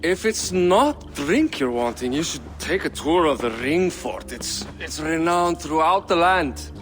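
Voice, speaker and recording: A man's voice: on the high side, big pitch swings, a little slow, a bit bright. An actor playing a boastful, hard-drinking middle-aged king in a video game cutscene, with a jovial, mocking manner. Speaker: game character.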